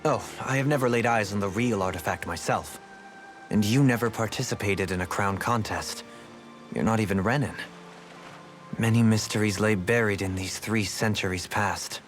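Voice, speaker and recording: A man's voice: on the low side, normal speed, close to an everyday voice. An actor playing a refined, thoughtful nobleman turned rebel in a video game dub, eloquent, formal and pensive. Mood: serious, musing. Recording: game music playing behind. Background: background music.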